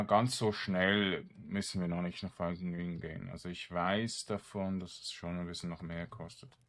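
Slow, very low-voiced man commentating gameplay. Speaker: gamer, let's play host. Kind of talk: casual let's play commentary.

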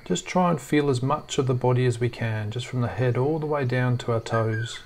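A man leading a guided meditation in a soft, soothing, gently reassuring way. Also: mid-range voice, moderate pace.

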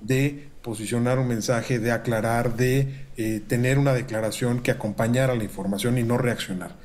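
A middle-aged Mexican man, a university researcher, giving measured, formal analysis from a room at home over a video call, with frequent filler pauses. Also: mid-range voice, steady tone, a little mellow. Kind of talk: analytical commentary. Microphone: video call webcam.

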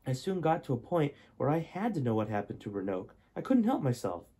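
A man reading as a husky, confessional adult son. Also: medium pitch, very animated, brisk, warm tone. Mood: earnest confession. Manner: earnest, explanatory storytelling. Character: adult son from alternate timeline, historian, confessional. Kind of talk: amateur audiobook-style reading.

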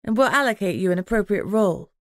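Casting: female narrator as assertive young alpha she-werewolf, wry, expressive, audiobook dialogue, dry sound. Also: mid-range voice, fast, no room sound, natural voice. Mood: curt, firm.

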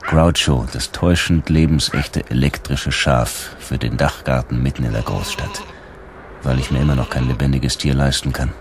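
A man's voice: a bass voice, moderate pace, a little hiss or hum. A man playing a weary, sardonic policeman in a radio drama monologue, with a dry, resigned delivery. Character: weary, sardonic male android-hunting policeman, inner monologue.

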